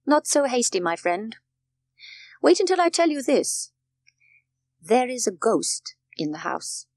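A woman voicing a formal, authoritative gentleman of the house in a storybook, with a brisk manner. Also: on the high side, very animated, dry recording, pitched above the performer's own voice. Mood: urgent. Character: commanding, anxious wealthy gentleman father of the house.

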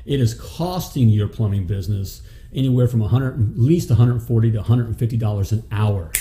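A man's voice: lowish voice, fairly quick, warm tone. A man in his forties hosting a live business talk show from a studio desk mic, making points with emphasis and often checking in with "okay?". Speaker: show host, plumbing business coach. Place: studio set with city backdrop. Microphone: desk mic on boom arm.

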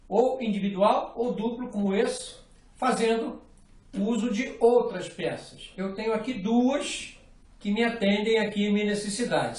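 A man's voice: sits high, recorded from a distance. Patient middle-aged man, echoey workshop. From Brazil. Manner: patient, methodical, often ends points with "tá?". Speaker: fly rod building instructor. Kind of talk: hands-on workshop tutorial. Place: small indoor workshop room. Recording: echoey room, voice off-mic.

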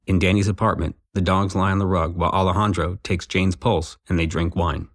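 A man's voice: low voice, fairly even intonation, brisk. A man reading scripted stage-direction narration for an audio drama.

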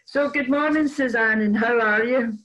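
An older woman hosting a casual video-call interview, with a halting delivery that repeats words as she goes. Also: fairly high pitch, fairly bright tone, rough recording. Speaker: interview host. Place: indoors in a room at home, on a video call. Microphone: computer microphone on a video call.

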